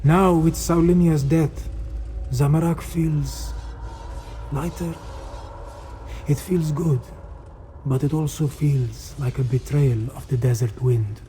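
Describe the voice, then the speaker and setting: Male cat-folk monk, fantasy game, solemn, reflective, very soft dark tone, unhurried. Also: on the high side, steady tone, a little hiss or hum. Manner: speaks of himself in third person, measured, solemn. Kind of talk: fantasy video game character acting.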